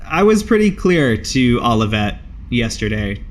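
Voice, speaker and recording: A man's voice: medium pitch, big pitch swings, unhurried. A man voicing a curt, practical female caravan trader who talks bluntly and dismissively in a tabletop game. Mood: firm refusal.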